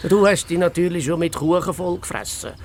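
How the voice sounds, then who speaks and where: An actor playing a grumpy, greedy fox detective in a children's radio play, impatient and dismissive, with a Swiss German accent. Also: male voice, high-pitched, steady tone, brisk, slight background noise, lightly acted. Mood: grumbling. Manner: impatient, dismissive, self-important.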